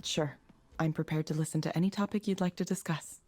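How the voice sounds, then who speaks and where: An actress playing a polite, composed woman counsellor in a video game, measured, neutral and professional. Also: deep voice, fairly even intonation, darkish tone, soft background music. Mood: attentive, neutral. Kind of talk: visual novel game voice acting.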